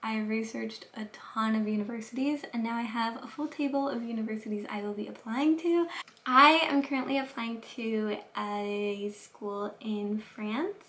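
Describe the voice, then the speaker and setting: A chatty young woman records a casual vlog diary on her phone. Her voice sits a little high, and she talks in a loose, filler-filled way that sounds both nervous and excited. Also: normal speed. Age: young adult. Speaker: vlogger applying to grad school.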